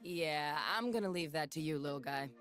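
A woman's voice: low-pitched, lively intonation, normal speed. A woman playing a wry, indulgent ally in a video game, talking in a teasing way. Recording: game clip with music behind.

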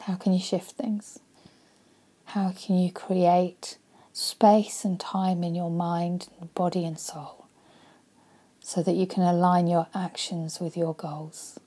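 A woman in her forties, a life coach, speaking earnestly and softly into a webcam in her living room, often dropping to a breathy near-whisper. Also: fairly low pitch, little pitch movement, slow pace. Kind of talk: motivational vlog talk.

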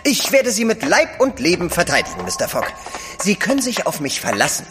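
High, excitable, loyal manservant. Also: male voice, a little fast, over music. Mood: eager. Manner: eager, deferential. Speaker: actor. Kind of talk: German audio drama acting.

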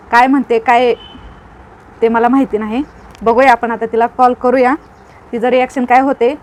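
Woman, high-pitched voice, rural western Maharashtrian Marathi accent, casual vlog chat. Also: low-quality recording. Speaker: vlogger making a prank call.

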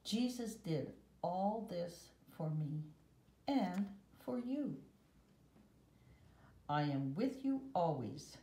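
A mellow-voiced woman reads a children's religious picture book aloud. She goes very slowly and deliberately, leaving pauses between phrases. The sound is roomy and echoing. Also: on the low side, expressive. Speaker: storybook reader.

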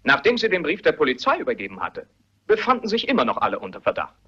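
A man's voice: on the high side, huge pitch swings, fast talker, bright, forceful tone, breathy edge, full character voice. An actor playing a sardonic, provoking man of a wealthy family, needling and insinuating in a German radio mystery play. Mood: smug, needling. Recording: muffled radio play recording.

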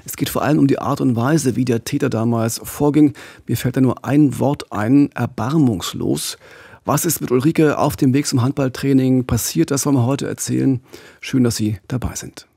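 A middle-aged man with a creaky, slightly husky voice tells a true-crime story as a podcast host. His delivery is grave and measured. It is recorded very close and dry in a studio. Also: medium pitch, mellow, no room sound. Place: small radio studio. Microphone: close studio mic with pop filter.

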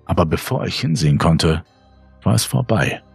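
Casting: male creepypasta narrator, very low and husky, dry close sound, faint music bed. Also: lively intonation, normal speed, dry recording. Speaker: horror story narrator. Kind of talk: scripted dramatic narration, creepypasta reading. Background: music under the voice.

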